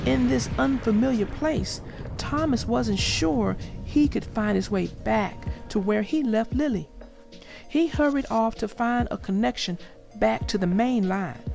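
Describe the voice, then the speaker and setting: A woman reads a children's story aloud at an unhurried pace. Her expressive narration has some creak in it, and music plays clearly behind her. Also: a little high.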